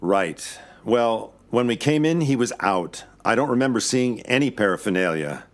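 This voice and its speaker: A man's voice: medium pitch, lively intonation, moderate pace, dry recording, lightly acted. An actor playing a weary, wry investigator who narrates his own case in an audio drama. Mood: subdued. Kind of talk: first-person noir-style audio drama narration.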